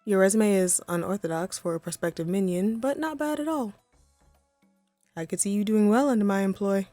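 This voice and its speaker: A woman's voice: medium pitch, moderate pace, mellow, dry recording, faint music behind, natural voice. A woman voicing a haughty, wry female supervillain in a scripted audio roleplay, poised and theatrical but conversational. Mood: encouraging, sly.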